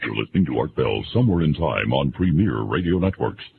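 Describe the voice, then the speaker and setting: A man reading a scripted radio announcement in a gravelly voice. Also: very deep voice, very animated, fairly quick. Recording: hissy, narrow-band radio broadcast.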